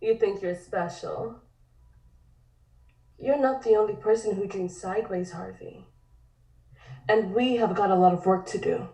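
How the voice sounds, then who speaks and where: A woman's voice: mid-range voice, unhurried, pauses often, mellow, natural voice. A woman voicing a cryptic, knowing and impatient woman in a table read, recorded distant in an echoey room. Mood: knowing, scornful. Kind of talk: dramatic table read of a comic script.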